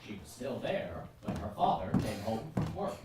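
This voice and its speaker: Woman, low voice, swooping intonation, dramatic storytelling narration, echoey theatre, noisy distant recording. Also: sing-song, moderate pace. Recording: echoey theatre, far mic, clear noise.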